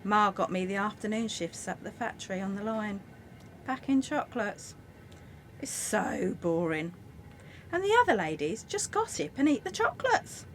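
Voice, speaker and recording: A woman's voice: mid-range voice, big pitch swings, normal speed, slight background noise, lightly acted. A woman playing a weary, grumbling teenage factory girl in a wartime radio play monologue. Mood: bored, grumbling. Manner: complaining, resigned.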